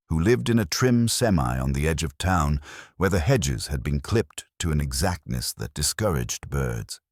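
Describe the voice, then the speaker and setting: A male storyteller with an extremely low voice reads literary mystery prose. His intonation moves widely from phrase to phrase, and the recording is close and dry, with hardly any room sound. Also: dry recording. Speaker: audiobook narrator. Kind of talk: scripted literary mystery narration.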